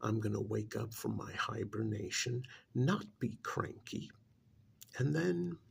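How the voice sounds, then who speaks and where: A low, husky ancient creature, acted by a man, speaks with breathy menace and open contempt for humans. The delivery is slow and deliberate, heavy with gravel, in the intimate style of an ASMR roleplay. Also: animated, exaggerated voice. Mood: cold, commanding. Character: ancient disdainful male creature possessing a dead man's body.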